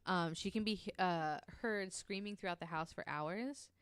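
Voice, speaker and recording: A woman tells a story to her podcast co-hosts in a relaxed, chatty way. She fills her pauses with ums and swears offhandedly. Her voice is slightly low and smooth, and it sounds dry and close to the mic. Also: dry recording. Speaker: podcast co-host telling the story. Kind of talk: casual podcast chat.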